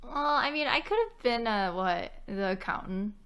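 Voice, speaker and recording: A woman's voice: a little high, animated, moderate pace, fairly bright tone, clean tone. A woman co-hosting a podcast, chatting casually and laughing often. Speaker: podcast co-host. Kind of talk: casual chat.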